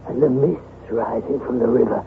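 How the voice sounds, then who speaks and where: A narrow, lightly noisy vintage radio recording carries a man acting as an effusive, genteel gentleman. He is florid and exclamatory, an excitable friend with refined speech. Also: mid-range voice, normal speed, lightly acted. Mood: delighted, admiring. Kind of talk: 1970s radio drama acting. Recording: phone-like old radio broadcast, faint noise.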